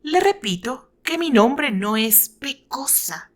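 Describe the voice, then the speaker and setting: An indignant young lady acted out by a woman storyteller. She is proud and emphatic, pronouncing each syllable with deliberate weight in a romantic audio tale. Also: sits high, lively intonation, voice pushed up, heavily acted. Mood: indignant, weary.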